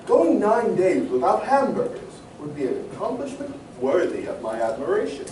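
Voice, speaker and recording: An actor playing a pompous, wordy, food-obsessed cartoon moocher in old radio comedy style. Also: male voice, high-pitched, wildly swooping pitch, moderate pace, mellow. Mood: lofty. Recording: roomy stage recording, far mic, faint noise.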